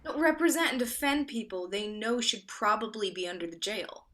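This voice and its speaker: A woman voicing an earnest young woman in a stage reading, her tone bright and a touch high. She teases back readily in banter, then speaks sincerely. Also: animated, moderate pace. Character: earnest young woman, the lawyer's sparring friend.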